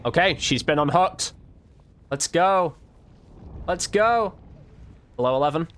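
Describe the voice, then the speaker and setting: A man's voice: fairly high pitch, lively intonation, slow pace, a bit bright. A man giving casual gameplay commentary, informally thinking aloud as he plays. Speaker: gaming video creator.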